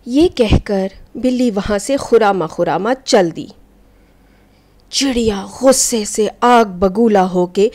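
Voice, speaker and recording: A woman storyteller giving a scripted, expressive narration of a children's folk tale in an instructive way. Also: medium pitch. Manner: expressive, didactic, addressing children.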